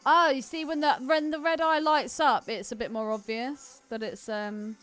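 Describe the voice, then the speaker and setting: A woman's voice: high voice, fairly even intonation, moderate pace, a bit bright, faint music behind. A woman co-hosting a podcast, chatting casually about films in an informal, slangy, candid way. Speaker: podcast co-host. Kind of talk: casual film chat.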